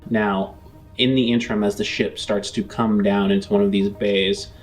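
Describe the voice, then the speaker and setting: A man narrating a tabletop game as game master, in deliberate phrases with frequent pauses. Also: lowish voice, little pitch movement, soft background music. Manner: deliberate, pausing often between phrases.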